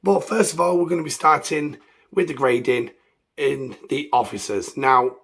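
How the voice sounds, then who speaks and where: A man hosts a casual game review, his voice croaky from illness, rambling with ums and self-corrections. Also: mid-range voice, normal speed, a bit bright. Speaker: gaming channel host.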